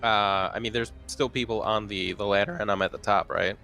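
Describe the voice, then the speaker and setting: A man's voice: on the low side, steady tone, fairly quick, creaky, sharp tone. A man chatting casually as a player in a tabletop roleplaying game. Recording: background music under the voice.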